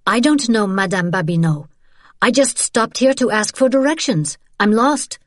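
Audiobook role: brave, frightened young French woman in the resistance, insistent and pleading, pitched up by a female narrator. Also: expressive, normal speed, dry recording, studio quality, close to an everyday voice. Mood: feigned innocence.

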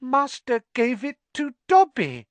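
A tiny male house elf with a piercing, shrill squeak far above a normal voice, in a storybook reading. His voice leaps around wildly and sounds unlike the reader's own. The recording is dry and close. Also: wildly swooping pitch, slow pace, no echo, full character voice. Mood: wondering. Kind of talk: audiobook character voice.

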